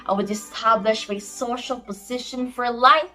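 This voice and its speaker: Snobbish hostess for a stage comedy, played by a woman: theatrical, highish pitch, distant mic, background music. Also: steady tone, moderate pace, sharp tone. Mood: boastful, gushing. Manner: theatrical, affected, imperious. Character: snobbish, scheming society hostess and mother. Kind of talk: amateur stage-play acting.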